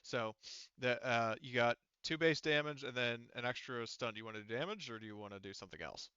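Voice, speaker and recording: A man's voice: medium pitch, fairly quick, sharp tone, smooth, no room sound, highs cut off. A man acting as game master, patiently explaining the rules of a tabletop roleplaying game step by step.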